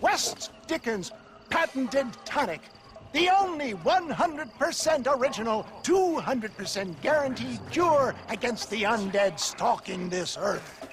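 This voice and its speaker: A showman peddling a bogus tonic, a high voice stretching every word slowly in theatrical, chuckling patter, a game cutscene huckster who is slippery and full of bluster. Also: lively intonation, soft background music, exaggerated voice. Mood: hawking. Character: smooth-talking male snake-oil huckster, showy and evasive. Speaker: traveling tonic salesman. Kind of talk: video game cutscene voice acting.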